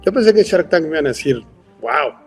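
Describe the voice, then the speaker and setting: A man's voice: a little high, big pitch swings, fast, darkish tone, faint music behind. A man with a Mexican accent, a company founder speaking as a guest in a casual interview, rambling with lots of filler words. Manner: rambling, frequent "este" fillers.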